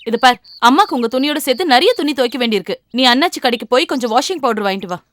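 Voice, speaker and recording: A woman's voice: sits high, animated, very fast, fairly bright tone, dry recording. An actress playing an exasperated mother in a children's cartoon, scolding and coaxing a child. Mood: nagging. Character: exasperated mother nagging her young daughter. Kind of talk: children's animated series dubbing.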